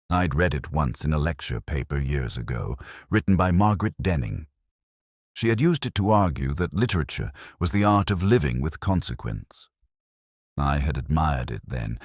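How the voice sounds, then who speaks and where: Brooding older detective , male actor, extremely low voice with expressive swings, formal and reflective first-person drama narration. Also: dry recording, studio quality, heavily acted.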